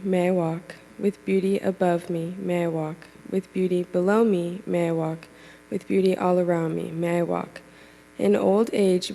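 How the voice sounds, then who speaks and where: A woman with a low, mellow voice leads a community prayer in church. She recites a rhythmic litany calmly, in a chant-like way. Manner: calm, repetitive, chant-like delivery. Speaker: congregation member leading a closing prayer.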